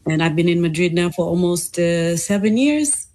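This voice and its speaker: A woman from Fiji, answering interview questions conversationally and reflectively, pausing to find her words. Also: fairly low pitch, expressive, darkish tone. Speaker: interview guest, English teacher and linguist.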